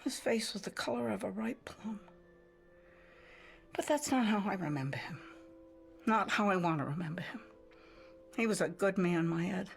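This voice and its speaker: A grieving older widow in a game cutscene, with a gravelly, creaking voice. She is weary and ominous, speaking in brooding fragments over music. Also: mid-range voice, expressive, normal speed, long pauses. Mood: mournful. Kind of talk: scripted video game cutscene acting.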